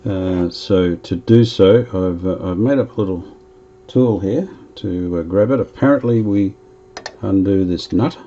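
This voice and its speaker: A man's voice: sits low, measured pace, mellow, highs cut off, a little hiss or hum. A man narrating as he restores an old radio, chatting casually and thinking aloud while he works.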